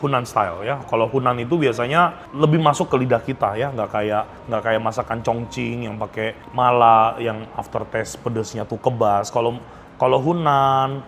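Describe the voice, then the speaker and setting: A man's voice: mid-range voice, steady tone, normal speed, a bit bright. A man with an Indonesian accent giving a casual food review, chatty and addressing viewers as friends. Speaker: food vlogger host. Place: restaurant. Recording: clear background noise, restaurant ambience.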